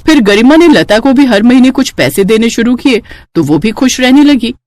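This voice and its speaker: A woman narrating a story in an expressive storytelling style. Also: a little high, moderate pace, dry recording.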